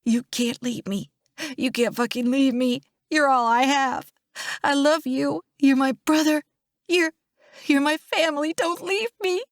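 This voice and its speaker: A woman's voice: sits high, unhurried, no echo, studio quality, pitched above the performer's own voice. A woman voicing a desperate, grieving woman in labor, pleading and crying out in a dramatic audiobook. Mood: tearful desperation. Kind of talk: dramatic audiobook character acting.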